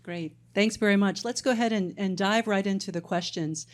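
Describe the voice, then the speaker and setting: A woman moderating a conference panel, brisk and organized as she opens the questions. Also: mid-range voice. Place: conference stage.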